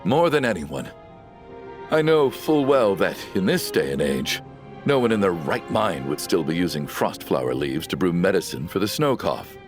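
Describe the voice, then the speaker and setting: Grieving game father, stubborn and anguished, wide swings in pitch, faint score behind. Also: very animated, normal speed. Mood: somber. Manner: anguished, pleading, rising to shouts. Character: grieving middle-aged father, stubborn and desperate. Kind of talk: video game voice acting. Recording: game audio with faint music.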